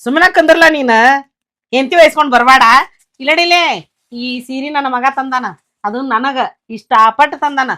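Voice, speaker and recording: A middle-aged woman with a North Karnataka Kannada accent, playing a jealous, possessive mother-in-law who scolds her son and daughter-in-law. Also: high-pitched. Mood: scolding. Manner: scolding, sharp, and quick to blame. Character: jealous possessive mother-in-law, quick-tempered, later remorseful. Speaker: actor. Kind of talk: village family drama acting.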